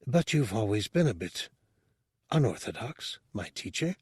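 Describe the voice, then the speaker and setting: A man's voice: fairly low pitch, very animated, normal speed, slightly rough, no echo, clean studio sound, heavily acted. A man voicing a small, sly but earnest reptilian alien in an audiobook story. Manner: earnest, respectful pupil.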